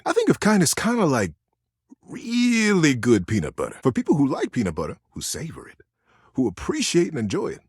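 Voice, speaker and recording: A man voicing a kindly, folksy old childhood teddy bear in a video game, talking like a gentle, earnest storyteller. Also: medium pitch, husky, no room sound, clean studio sound, full character voice. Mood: cheerful, musing. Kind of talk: video game character dialogue.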